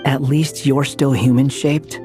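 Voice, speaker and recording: A man's voice: medium pitch, steady tone, a little slow, lightly acted. Guy playing a dry, kinda weary young game hero, no nonsense, music under it. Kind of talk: video game voice acting.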